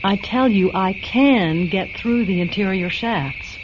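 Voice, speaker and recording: An actress playing a determined underclass woman in a radio drama, formal and restrained yet quietly defiant. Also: medium pitch, takes it slowly, background noise, close to an everyday voice. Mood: urgent, determined. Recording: dull radio recording, faint music.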